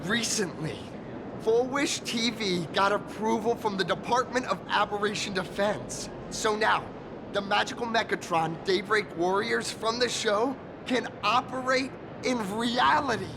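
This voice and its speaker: Young earnest anime game hero: high voice with some rasp, starstruck, humble, stammers when flustered. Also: male voice, unhurried, background noise. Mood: earnest, proud. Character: excitable starstruck young male actor-turned-masked-hero, earnest and humble. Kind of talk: anime-style video game voice acting.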